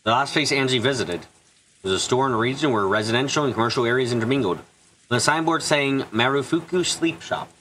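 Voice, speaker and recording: A man reading a visual novel aloud as an expressive fan dub, narrating and voicing dialogue with the odd stumble. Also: mid-range voice, fast talker, a little hiss or hum, rough recording.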